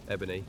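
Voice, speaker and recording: An actor playing a sly, teasing, protective male vampire acolyte in an audio drama, with a playful, mocking manner. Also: slight background noise.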